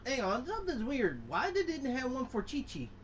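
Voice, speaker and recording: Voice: sits high, huge pitch swings, moderate pace, a little hiss or hum. A gaming channel host giving casual, informal gameplay commentary, often muttering to themselves. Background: game music.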